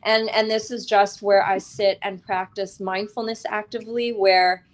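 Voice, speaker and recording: A woman's voice: mid-range voice, little pitch movement, moderate pace. A woman speaking as a guest author in a conversational interview, in an earnest, reflective way, often checking in with a short tag question.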